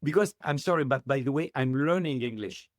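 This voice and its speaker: Man, middle-aged, halting upbeat English, dry close sound. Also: mid-range voice, lively intonation, no echo. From Tunisia. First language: Arabic. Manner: halting non-native English, earnest and upbeat. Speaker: guest. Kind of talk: interview answers.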